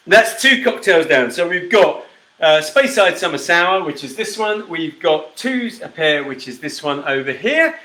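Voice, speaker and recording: A chatty middle-aged man hosts a live whisky broadcast in a relaxed, friendly way, reading out viewer comments as he goes. His voice is bright and forceful and sits a little high for a man. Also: a little slow. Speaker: host of a live whisky show. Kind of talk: casual live-stream chat.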